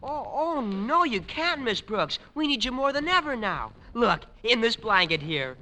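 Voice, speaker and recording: An actor playing an earnest, anxious teenage schoolboy in a 1950s radio comedy. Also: male voice, extremely high pitch, very animated, measured pace, sharp tone, a little hiss or hum, exaggerated voice. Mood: pleading. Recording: echoing vintage radio recording.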